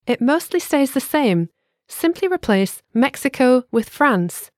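A woman guides listeners through a language lesson in clear, measured, instructive narration. Her voice sits fairly high and moves a lot in pitch. The recording is dry and close, with no room sound. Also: sing-song, a little mellow, no echo.

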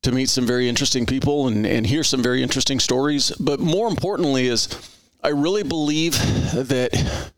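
A middle-aged man hosting a podcast in an earnest, rambling way, circling back over his own words. He has an average-pitched voice. The sound is close and dry, with no room echo. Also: fairly quick. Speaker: podcast host. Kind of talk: conversational interview.

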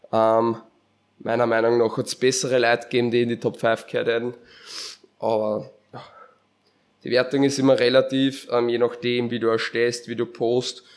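This is a young man with an Austrian accent hosting a casual solo podcast. He sounds stuffed up with a cold, and his medium-pitched voice stays very even. His phrasing is colloquial and meandering, with a hesitant flow. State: sick with a head cold, congested. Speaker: podcast host.

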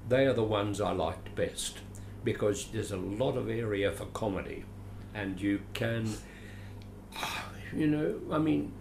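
A man in his late seventies with an Australian accent, telling stories about his acting career in an animated, theatrical, chuckling way, recorded at home. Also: lowish voice, a little slow, gravelly. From Adelaide, Australia. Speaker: guest, veteran actor. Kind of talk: storytelling interview. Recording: roomy echo, faint hiss.

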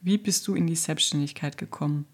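A woman's voice: deep voice, fairly quick, breathy edge. A woman from Austria, co-hosting a podcast and chatting in a friendly, upbeat way about her path. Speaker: podcast co-host. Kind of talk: casual podcast chat.

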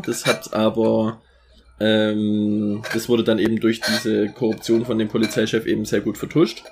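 A man's voice: medium pitch, vocal fry, darkish tone, husky. A man co-hosting a podcast, recapping a series episode in a rambling way with many fillers. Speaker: podcast co-host summarizing an episode.

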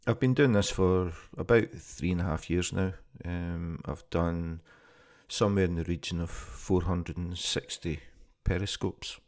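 A man from Scotland with a Scottish accent, introducing his first podcast in a plain, conversational way with small pauses and restarts. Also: deep voice, fairly even intonation, a little mellow. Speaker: podcast host. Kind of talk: casual spoken podcast introduction.

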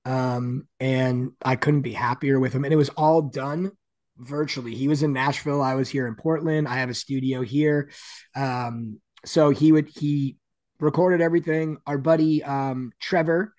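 Deep raspy man, rambling and dry. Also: fairly even intonation, normal speed, a little mellow, dry recording. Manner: rambling, many "like" fillers. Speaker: guest musician. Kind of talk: casual interview chat.

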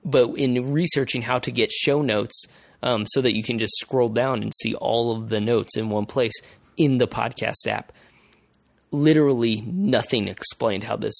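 A male podcast host explaining things in casual conversation, haltingly, repeating phrases as he thinks aloud. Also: medium pitch, creaky, no room sound, studio quality.

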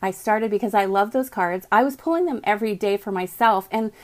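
A woman hosting a live morning podcast from her home office, chatty and upbeat, greeting viewers by name, with a voice she calls calming, on a computer mic. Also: medium pitch, fairly quick. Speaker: podcast host. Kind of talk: casual live video chat.

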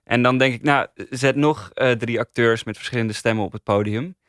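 Mid-pitched man chatting, dry sound. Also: normal speed, a bit bright, no room sound. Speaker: podcast panel critic. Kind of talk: casual panel discussion.

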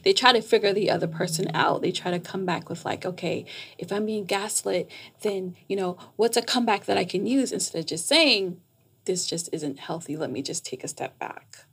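A woman's voice: mid-range voice, moderate pace, breathy edge. A woman who grew up in Jamaica, a psychotherapist explaining her work in a casual podcast interview. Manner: articulate, explanatory, laughs easily. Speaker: psychotherapist guest.

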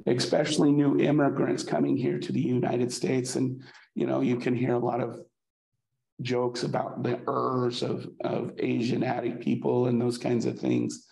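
Slow, gravelly man lecturing in an echoey room. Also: medium pitch, a little mellow. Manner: halting, many fillers and restarts. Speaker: college instructor. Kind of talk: recorded class lecture.

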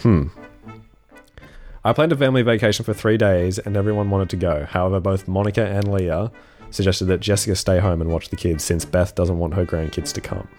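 A man's voice: low-pitched, steady tone, brisk, a little mellow, soft background music. A young man co-hosting a casual podcast, congested and sniffling, laid-back and swearing, stumbling as he reads a forum post aloud. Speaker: podcast co-host.

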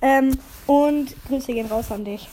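A hoarse woman chatting casually as a podcast co-host, rambling with lots of fillers. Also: sits high, unhurried, mellow, a little hiss or hum, low-quality recording. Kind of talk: casual chat.

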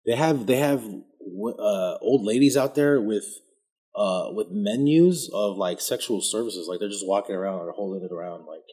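A man's voice: fairly low pitch, normal speed, warm tone, husky. A man in casual chat who talks emphatically and repeats himself for effect.

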